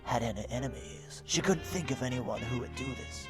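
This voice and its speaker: A man voicing a self-important, dim hardboiled private eye, narrating a deadpan film noir parody in a melodramatic way. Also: medium pitch, moderate pace, natural voice. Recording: music behind the voice. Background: background music.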